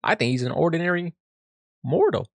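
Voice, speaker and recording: A man's voice: on the high side, very animated, a little fast, gravelly, no room sound. A man giving casual reaction commentary in a slangy, joking manner. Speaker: reaction video host.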